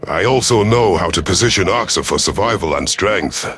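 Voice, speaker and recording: A man voicing a proud, calculating veteran alien rebel leader in a sci-fi audio story, measured, formal and imperious. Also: low voice, animated, fairly bright tone, soft background music. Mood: calculating pride.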